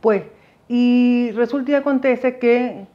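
A woman's voice: fairly high pitch, takes it slowly, highs cut off. A middle-aged woman with a Venezuelan accent talking casually and reflectively to viewers, often digressing as she goes. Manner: conversational, digressive, addresses viewers directly. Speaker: video host sharing migration experience. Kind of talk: casual, reflective monologue to camera. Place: small indoor setup with dark backdrop.

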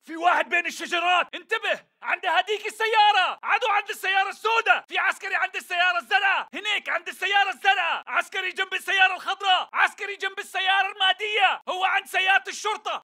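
An actor with a Syrian Levantine Arabic accent voicing an aggressive militant in a video game, barking short, urgent battle callouts. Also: male voice, very high voice, sharp tone, heavily acted. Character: aggressive male militant fighter calling out enemy positions. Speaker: game enemy soldier.

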